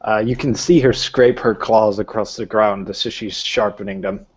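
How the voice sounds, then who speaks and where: A man's voice: on the low side, fairly even intonation, normal speed, husky, muffled recording. A man acting as game master, running a tabletop roleplaying session.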